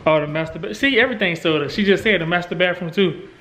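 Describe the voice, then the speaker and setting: A man's voice: high-pitched, fast talker, pushed and bright, rough recording. An American man, around his thirties, giving a casual, chatty house tour as a family vlogger on a handheld camera. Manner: informal, chatty. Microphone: handheld vlog camera at arm's length. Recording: handheld camera with clear background noise.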